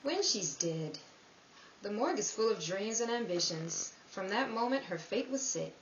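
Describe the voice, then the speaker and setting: A dull, roomy recording of an actress as a world-weary woman in a noir radio play. Her mid-pitched voice moves expressively, wry, guarded and fatalistic. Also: expressive, moderate pace, crowd noise, close to an everyday voice. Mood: rueful, reflective. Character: world-weary, guarded sister and manager, narrating grimly. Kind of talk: 1930s radio noir narration and acting. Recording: dull, roomy, distant mic, faint noise.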